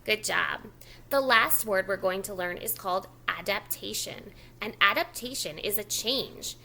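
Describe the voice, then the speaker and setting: A woman's voice: medium pitch, measured pace, vocal fry, bright, forceful tone, a little hiss or hum, rough recording. A woman preschool educator leading a read-aloud lesson for young children, speaking instructively and repeating key words. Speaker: preschool educator reading a picture book to children.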